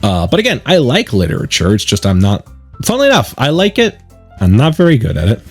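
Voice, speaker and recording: A man's voice: medium pitch, huge pitch swings, fast, breathy edge, soft background music. A man giving chatty, digressive commentary while playing through a game. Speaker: let's play streamer. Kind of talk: let's play commentary mixed with reading game text aloud.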